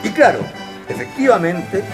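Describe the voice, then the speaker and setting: An actor playing the wry storyteller who narrates a domestic comedy as a scripted radio drama. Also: male voice, high voice, sing-song, normal speed. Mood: lively, theatrical. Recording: guitar music behind the voice.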